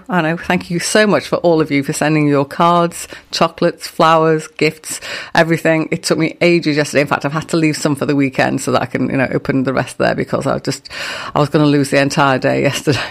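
A woman hosting a classical music radio show in casual, chatty style, her voice deep, gravelly and bunged up from a dust allergy. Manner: chatty, self-mocking, rambling.

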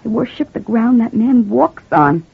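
An actress playing a scheming working-class wife in an old-time radio crime drama, talking in streetwise slang and sweetly feigning victimhood. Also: female voice, on the high side, animated, a little slow, vocal fry, slightly rough, exaggerated voice. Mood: plaintive, fretful. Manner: streetwise slangy, ungrammatical talk. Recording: muffled, phone-like vintage radio recording.

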